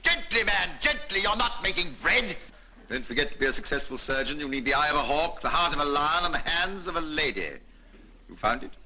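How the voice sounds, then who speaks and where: A man's voice: fairly high pitch, expressive, fairly quick, crowd noise. An actor playing a pompous, bullying senior male surgeon who barks orders at junior doctors. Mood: lecturing. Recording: dull old film soundtrack with faint music.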